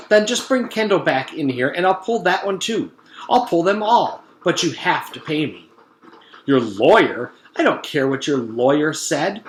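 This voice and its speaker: A man voicing a brisk, bossy female dentist from a children's storybook, with clipped, domineering speech. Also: sits high, voice pushed up. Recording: roomy echo with clear background noise.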